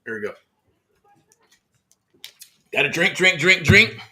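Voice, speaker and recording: Upbeat higher-voiced man giving a pep talk. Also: big pitch swings, slow pace, bright, forceful tone, a little hiss or hum. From Southern California. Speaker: real estate mentor host. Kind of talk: motivational livestream talk. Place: outdoors in the heat.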